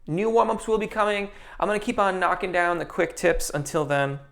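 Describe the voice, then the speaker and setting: A man's voice: high-pitched, a little fast, creaky, fairly bright tone. A young man, a voice teacher, hosting a casual live-stream Q&A, reading viewer comments and answering with technical singing terms, with frequent ums and restarted sentences.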